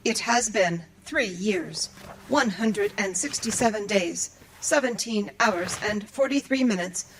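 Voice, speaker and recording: A woman's voice: mid-range voice, animated, slow pace, a little hiss or hum, poor audio. A woman playing a dutiful, earnest android librarian in an audio drama, speaking in formal, precise, procedural phrases. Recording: music behind the voice. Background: music.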